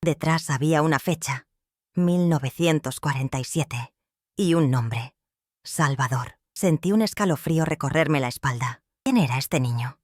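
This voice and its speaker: Brief: older woman, first-person storyteller, solemn and measured, dry recording. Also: deep voice, no room sound. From Michoacán, Mexico. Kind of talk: scripted first-person narration.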